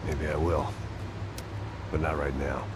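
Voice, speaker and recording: An actor with an American accent playing a weary, sardonic middle-aged antihero in a video game, in a dry gravelly voice. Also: male voice, very deep voice, flat delivery, a little slow, very noisy. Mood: resigned. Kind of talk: video game cutscene acting. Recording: loud music behind the voice.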